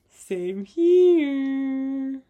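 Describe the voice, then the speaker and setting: A young adult woman co-hosting a casual couple's chat, bubbly and quick to laugh or gasp in surprise. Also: sits high, lively intonation, narrow, tinny audio. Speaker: podcast co-host.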